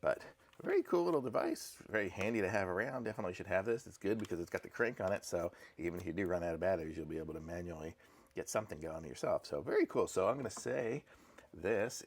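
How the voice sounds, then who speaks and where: A man's voice: sits low, expressive, fast, gravelly, a little hiss or hum. A man giving a casual, off-the-cuff unboxing review as the host of a product channel.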